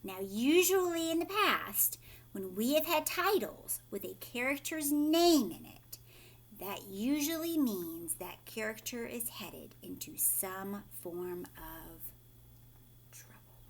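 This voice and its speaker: A woman's voice: a little high, lively intonation, takes it slowly. A woman teacher reading a storybook aloud expressively and explaining it simply to children, often with questions.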